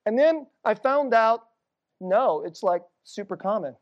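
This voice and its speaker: A middle-aged Korean American woman telling stories in a sermon, casual and conversational, dropping to a hushed low voice at tense moments. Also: animated, unhurried, muffled recording. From United States.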